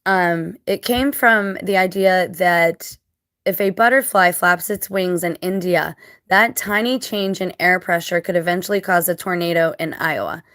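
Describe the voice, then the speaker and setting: A woman with a scratchy, dry-mouthed voice after crying, talking casually to viewers in a halting, reflective, earnest way. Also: on the low side, fairly bright tone, no room sound. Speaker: vlogger.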